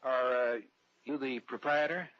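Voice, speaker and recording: An actor with an American accent playing a thoughtful traveler who narrates a suspense tale in an old radio drama, measured and brooding. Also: male voice, medium pitch, fairly even intonation, no echo, close to an everyday voice. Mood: polite, hesitant. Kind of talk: 1940s-50s radio drama narration and dialogue. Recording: dull vintage radio recording, music behind. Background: dramatic music.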